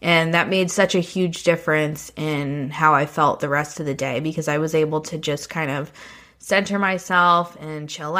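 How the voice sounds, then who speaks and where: A woman's voice: sits low, bright, forceful tone. A woman hosting her own podcast, talking in a chatty, encouraging way while she has a cold. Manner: chatty, encouraging, speaks straight to the listener. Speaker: podcast host. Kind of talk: casual solo podcast talk.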